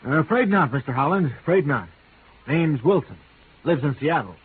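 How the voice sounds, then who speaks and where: An actor playing a brisk, folksy real estate agent in a 1950s radio drama, talking in clipped phrases. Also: male voice, fairly high pitch, expressive, slight background noise, close to an everyday voice. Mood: matter-of-fact. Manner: clipped, folksy, dropping subjects. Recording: vintage radio, narrow band, some phone lines.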